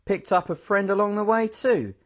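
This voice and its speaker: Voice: mid-range voice, normal speed, pitched above the performer's own voice, close to an everyday voice. A reader doing a jaunty boastful escapee. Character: cocky escape-artist man, jaunty and boastful. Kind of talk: audiobook character voice.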